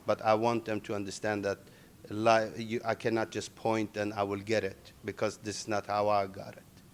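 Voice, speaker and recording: A middle-aged man, born in Iran and raised in Sweden, answering interview questions in halting English full of restarts. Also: lowish voice, monotone, slight background noise. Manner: halting non-native English with many restarts. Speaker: interviewee, MMA organization owner.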